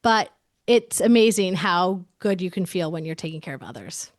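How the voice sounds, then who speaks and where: A woman's voice: fairly low pitch, animated, moderate pace, no echo, clean studio sound. A woman in her forties talking candidly as an interview guest, emphatic and chatty, often saying "like" and restarting her sentences. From United States.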